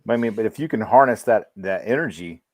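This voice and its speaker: Low-voiced man in casual chat. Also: animated, fairly quick, no echo. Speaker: podcast co-host. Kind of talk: casual, slangy livestream chat. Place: home in the countryside, on a video call.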